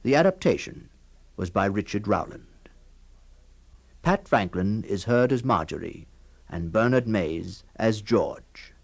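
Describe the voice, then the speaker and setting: Guy doing a formal scripted intro for a radio play, deepish voice, really takes his time. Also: animated, measured pace, gravelly, pauses often. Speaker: drama program announcer.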